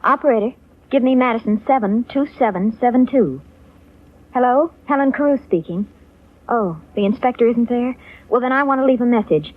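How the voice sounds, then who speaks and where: A woman's voice: a little high, fairly quick, a little hiss or hum, lightly acted. A woman playing an earnest anxious young woman. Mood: urgent. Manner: earnest, questioning. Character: anxious, devoted young woman, the hero's girlfriend. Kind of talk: 1940s radio acting. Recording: tinny old radio broadcast.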